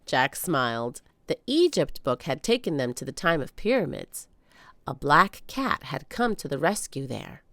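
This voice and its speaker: Expressive woman storyteller, slightly low voice. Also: measured pace, no echo, studio quality. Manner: animated, dramatic emphasis. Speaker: children's book reader. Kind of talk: expressive read-aloud storytelling.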